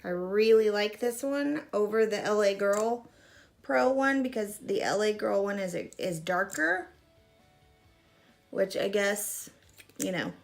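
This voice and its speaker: A mid-thirties woman talks through her makeup routine in a chatty, self-deprecating way, full of little asides. Her medium-pitched voice carries a gravelly fry. She sits close to a fixed camera in a small room. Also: slow, faint music behind. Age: 30s. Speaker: makeup vlogger. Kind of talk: casual makeup tutorial chat. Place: small room in front of a gray curtain. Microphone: fixed camera at arm's length.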